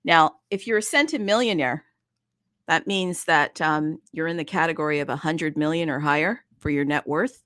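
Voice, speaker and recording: A woman hosting a casual chat about crypto. She is bubbly and excitable and talks fast in bursts. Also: low voice, no room sound, studio quality. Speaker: host.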